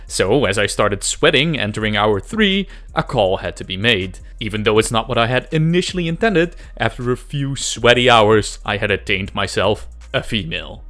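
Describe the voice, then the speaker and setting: A man's voice: lowish voice, animated, moderate pace, few pauses, fairly bright tone, soft background music. A man narrating a scripted, comedic game development video with self-deprecating, exaggerated emphasis and some swearing. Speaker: host, amateur game developer narrating a devlog.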